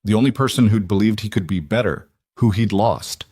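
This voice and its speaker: A man reading scripted dramatic fiction as an audiobook narrator, measured and deliberate in short phrases. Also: fairly low pitch, darkish tone, husky, no room sound, studio quality.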